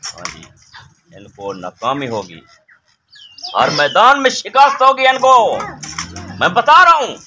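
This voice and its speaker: A man's voice: high-pitched, very animated, unhurried, long pauses, sharp tone. A man with a Pakistani accent chatting casually and emphatically, repeating phrases as he makes his point. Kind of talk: casual chat, opinionated monologue. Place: outdoors in a village yard. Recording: outdoor phone recording with clear background noise.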